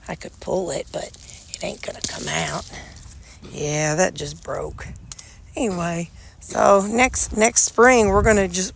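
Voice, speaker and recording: A woman's voice: on the low side, vocal fry, background noise. A middle-aged woman with a slightly nasal voice, chatting casually and rambling to her phone camera outdoors while pulling weeds. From Missouri, United States. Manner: rambling, chatty, folksy with frequent restarts. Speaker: vlogger. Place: outdoors beside a gravel bed and sidewalk. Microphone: phone held in hand.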